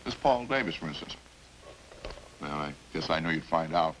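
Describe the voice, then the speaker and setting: An actor in a 1950s radio crime drama playing a chatty killer who confesses in a casual, folksy, matter-of-fact way. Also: male voice, rumbling low, animated, moderate pace, fairly bright tone, rough recording. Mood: casual, rambling. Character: chatty, hungry male killer calmly confessing over supper. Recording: hissy old-time radio recording.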